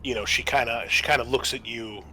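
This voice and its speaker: A man's voice: medium pitch, fairly even intonation, brisk, sharp tone, a little hiss or hum, rough recording. A man acting as game master, narrating and explaining the rules of a tabletop roleplaying game.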